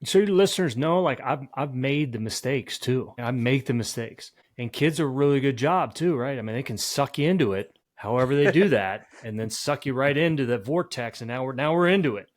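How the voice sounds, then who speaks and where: A man's voice: mid-range voice, lively intonation, fairly quick, few pauses. A man speaking as an expert podcast guest, giving informal advice in candid, emphatic, punchy phrases. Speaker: podcast guest, sports psychologist.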